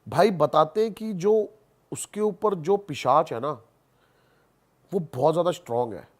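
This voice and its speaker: Man, higher-pitched voice, casual animated storytelling, colloquial, English words mixed in, emphatic repetition. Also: a little slow. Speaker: podcast guest, haunted-place investigator.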